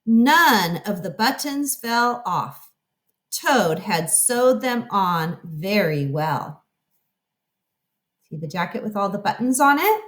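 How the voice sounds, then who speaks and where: A woman narrating a children's story as a teacher reading aloud, expressive and explanatory as if addressing children. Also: medium pitch, takes it slowly.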